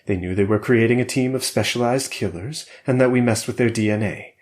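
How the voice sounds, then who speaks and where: A man's voice: mid-range voice, brisk, darkish tone, lightly acted. A man voicing a blunt male covert operative giving urgent warnings, in a spoken story.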